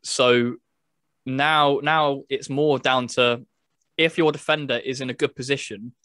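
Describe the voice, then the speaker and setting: Male podcast host, mid-range pitch, conversational and informal game-review chat, dry recording. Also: steady tone, a little slow, fairly bright tone, no room sound.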